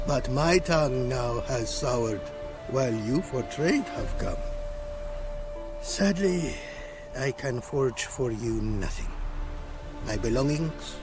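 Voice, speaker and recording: Slow-spoken, formal rune craftsman. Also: mid-range voice, lively intonation, music in the background. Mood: regretful, courteous. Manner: formal, inverted archaic syntax, deliberate emphasis. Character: courteous, proud foreign male rune craftsman, melancholy scholar. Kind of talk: video game cutscene acting.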